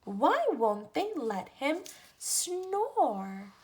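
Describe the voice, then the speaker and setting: A woman reading a picture book aloud to children and teaching the alphabet, with deliberate pauses between phrases. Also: high-pitched, very animated, a little mellow. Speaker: read-aloud storyteller for children.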